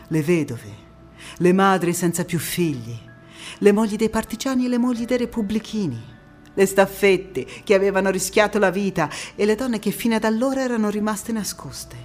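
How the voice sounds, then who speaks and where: Actress doing determined activist woman, stage monologue, emphatic n dramatic pauses, music underneath. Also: mid-range voice, darkish tone, close to an everyday voice. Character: passionate, resolute woman activist recounting postwar struggle for women's vote. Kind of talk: theatrical narrative monologue.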